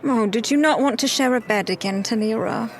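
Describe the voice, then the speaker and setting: A woman's voice: a little high, brisk, close to an everyday voice, poor audio. A woman playing a practical adventurer in a tabletop game, with a dry, teasing manner.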